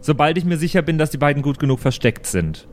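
A man's voice: on the high side, animated, fast. A man speaking as a player in an improvised role-playing game, switching between in-character talk and stating his moves. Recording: music behind the voice. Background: music.

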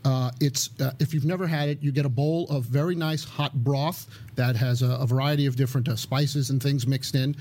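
A man in a casual podcast chat, talking enthusiastically in a halting, rambling way with many fillers. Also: mid-range voice, steady tone, darkish tone. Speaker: podcast guest.

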